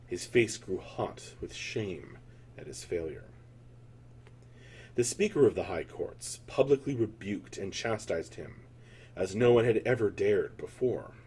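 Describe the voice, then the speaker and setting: Low, husky male storyteller, dark tone. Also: lively intonation, a little slow. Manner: solemn, theatrical. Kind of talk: dramatic scripted fantasy narration.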